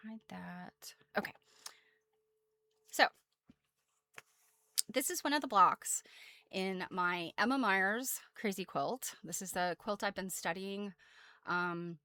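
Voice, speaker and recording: A woman's voice: mid-range voice, very animated, slow, gravelly, long pauses, sharp tone, no room sound. Chatty American woman, closely recorded. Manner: chatty, self-deprecating, rambling asides. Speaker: host, quilt researcher. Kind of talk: casual informal presentation on quilt history.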